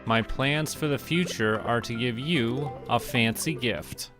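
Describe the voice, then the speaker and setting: A man doing relaxed, casual game commentary, thinking aloud and muttering to himself in an unhurried way. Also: mid-range voice, over music. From Canada. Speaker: game streamer.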